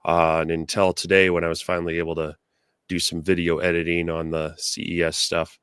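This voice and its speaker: A hoarse man co-hosting a live stream, rambling with lots of fillers over a video call. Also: low voice, completely flat delivery, fairly quick, fairly bright tone, no echo, clean studio sound. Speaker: co-host. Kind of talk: casual live-stream chat.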